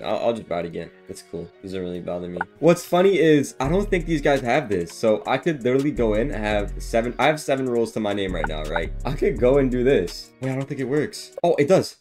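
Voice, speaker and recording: Casual, slangy male gamer over music. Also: lowish voice. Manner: slangy, animated, easily amused. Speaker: gaming video creator. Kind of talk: casual excited gameplay commentary. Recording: background music behind the voice. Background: game music and effects.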